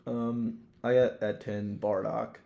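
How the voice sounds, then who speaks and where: A man's voice: fairly low pitch, level tone, slow, creaky, warm tone. A man chatting casually as a podcast co-host, with an emphatic, slangy, sweary way of talking. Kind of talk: casual chat ranking favourites. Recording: echoey room.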